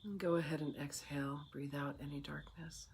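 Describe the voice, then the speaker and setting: Meditation guide over clear insect noise. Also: mid-range voice, fairly even intonation. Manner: soothing, unhurried, with long pauses.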